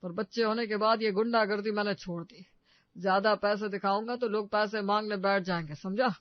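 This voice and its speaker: A man plays a pompous middle-aged father in a comic family drama, with a very high voice in a dry, dull recording. He blusters, boasts and scolds with a short temper. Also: fairly even intonation, fairly quick, no room sound, natural voice. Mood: boastful, angry. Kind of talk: Hindi comedy story dramatization.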